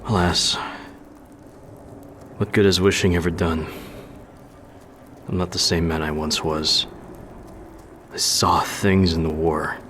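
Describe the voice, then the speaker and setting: Casting: low-pitched sardonic ex-soldier for fantasy game, world-weary and dry, faint ambient noise behind. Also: male voice, flat delivery, slow, pauses often, a bit bright. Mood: wistful. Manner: dry, sarcastic, wistful. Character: jaded, sardonic male mercenary brewer haunted by war. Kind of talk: fantasy video game voice acting.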